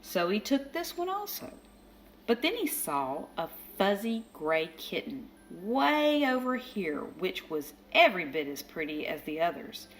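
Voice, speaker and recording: A woman reading a children's picture book aloud as storyteller, expressive, with dramatic emphasis. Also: medium pitch, measured pace, faint music behind. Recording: faint background noise.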